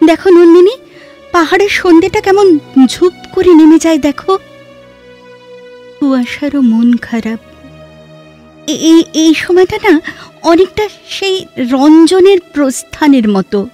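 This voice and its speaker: A woman playing a reflective, scholarly, sorrow-laden heroine in an audio drama, speaking in a measured, lyrical way with expressive pauses. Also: high-pitched. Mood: pensive. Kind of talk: Bengali audio drama dialogue.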